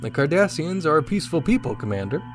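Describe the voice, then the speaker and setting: A man's voice: on the high side, sing-song, fast talker, music in the background. A man playing a confident, dashing starship captain, commanding and wry, in a sci-fi radio drama. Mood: curious, casual.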